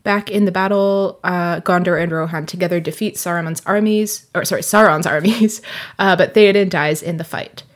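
A woman's voice: fairly low pitch, fairly even intonation, normal speed. A young adult woman co-hosting a podcast, chatting casually and summing up a book with lots of filler words. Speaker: podcast co-host. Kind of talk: casual chat and book synopsis.

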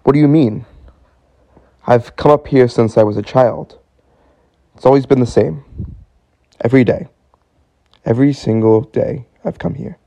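Radio drama: bewildered twenty-something man actor, hesitant and earnest, creaky voice, close dry sound. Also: mid-range voice, a little slow, long pauses, dark tone, no echo. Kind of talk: radio play acting.

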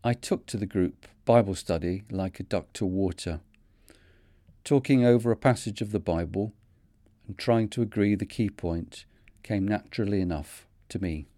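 Podcast host in his fifties, Manchester accent, low mellow voice, dry close sound, earnest reading. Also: dry recording. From North of England. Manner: measured, earnest storytelling. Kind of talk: scripted reflective narration.

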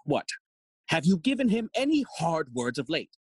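A man's voice: fairly high pitch, sing-song, moderate pace, breathy edge, no room sound, studio quality. An actor playing a fussy, long-winded older father and royal counsellor in a theatrical Shakespearean audio play. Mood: urgent, regretful. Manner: wordy, theatrical.

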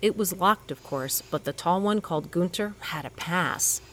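A woman's voice: fairly low pitch, normal speed, fairly bright tone, slight background noise, close to an everyday voice. An actress playing a determined woman doctor in an audio drama, switching between narration and urgent, emotive dialogue. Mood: tense narrating. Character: determined, bossy woman doctor and scientist with powers. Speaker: narrator and lead actor in a fiction podcast.

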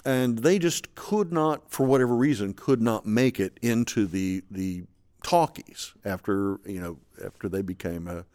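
A man's voice: on the low side, a little slow, darkish tone. A man hosting a film podcast, talking at length about film history in a hesitant way, with lots of fillers and restarts. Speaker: podcast host. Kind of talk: casual film discussion.

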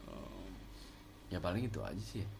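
A man co-hosting a casual podcast about films, relaxed and hesitant, often trailing off with fillers. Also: low voice, moderate pace, gravelly, background noise. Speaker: podcast co-host. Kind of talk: casual film chat.

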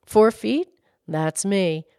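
A woman's voice: medium pitch, lively intonation, very slow, a little mellow, smooth, dry recording, clean studio sound, voice pushed down. A woman voicing a plain-spoken, brusque but obliging horse in a storybook tale. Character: helpful horse, matter-of-fact servant of little folk.

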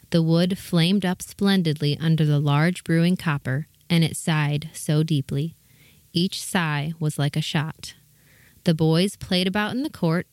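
Woman narrator, lowish pitch, measured storybook reading, expressive, dry close recording. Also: dry recording.

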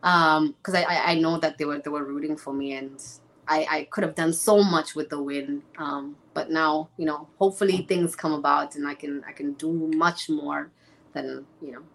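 A young woman from Zimbabwe, a guest in an interview, talking in a lively, chatty way with many restarts. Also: low voice, normal speed, gravelly, poor audio. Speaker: interview guest, former reality show contestant. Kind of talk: casual chat.

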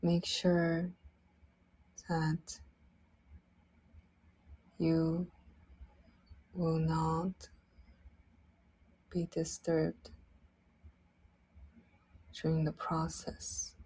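Very slow, breathy woman guiding sleep meditation. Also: deep voice, monotone, rough recording. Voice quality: soft, breathy, partly whispered. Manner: soothing, gentle, short phrases with long pauses. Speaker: meditation guide. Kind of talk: guided sleep meditation, slow instructional narration.

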